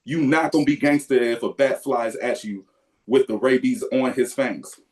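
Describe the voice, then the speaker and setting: A man casually telling a profane, animated story as a podcast co-host. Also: medium pitch, normal speed. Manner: animated, profane, loud yelps when acting out.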